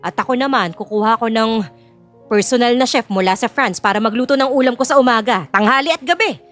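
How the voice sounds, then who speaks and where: A woman's voice: on the high side, fairly quick, faint music behind, close to an everyday voice. A woman playing a greedy, haughty middle-aged mother in an audio drama, with a scornful, theatrical delivery. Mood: smug scheming. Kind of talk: audio drama character acting.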